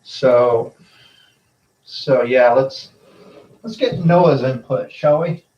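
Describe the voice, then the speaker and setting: American man, medium pitch, casual storm spotter update, halting delivery, distant from the mic, muffled narrow sound. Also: a little mellow. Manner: halting, unhurried. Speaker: storm spotter, channel host. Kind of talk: casual live weather update. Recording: roomy, distant, narrow-band livestream sound.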